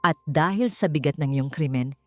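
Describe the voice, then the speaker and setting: A woman's voice: sits low, normal speed, no room sound, soft background music, close to an everyday voice. A woman narrator playing a cold, formal human resources manager in a melodramatic audio story. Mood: stern.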